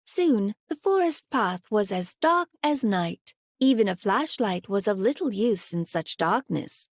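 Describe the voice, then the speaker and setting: A woman narrating a scripted children's fairy tale in an expressive storytelling style. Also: mid-range voice, measured pace, no echo, studio quality.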